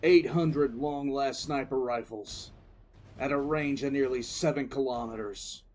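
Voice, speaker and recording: An actor playing a battle-hardened military commissar who recounts combat with grim relish in an intense, theatrical audio drama narration. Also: male voice, mid-range voice, a little mellow, natural voice. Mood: grim, satisfied. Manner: intense, theatrical, drawn-out emphatic delivery.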